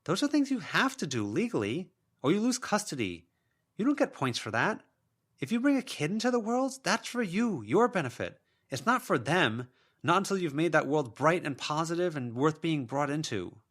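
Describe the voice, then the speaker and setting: A man's voice: fairly high pitch, big pitch swings, normal speed, a bit bright, pitched above the performer's own voice. A man voicing a defiant young girl with a blunt, argumentative way of talking in an audiobook. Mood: blunt, indignant.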